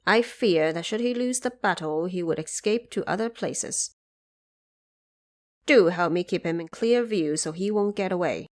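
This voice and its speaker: A woman's voice: on the low side, measured pace, a little mellow, close to an everyday voice. A woman voicing a proud, commanding young divine warrior lord in a mythological audio drama, speaking in a formal, declamatory, self-assured way. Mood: confident, formal.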